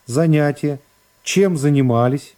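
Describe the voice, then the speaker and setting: Man playing a mellow, courteous presiding judge. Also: on the high side, unhurried, close to an everyday voice. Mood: courteous, formal. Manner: soft, affable, formal questioning. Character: gentle, courteous male presiding judge questioning defendants.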